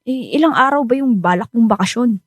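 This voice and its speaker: A woman's voice: a little high, lively intonation, brisk, breathy edge, no echo. A woman acting a protective, stubborn young wife and mother with a Filipino accent in a radio drama. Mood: hesitant. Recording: studio drama over background music.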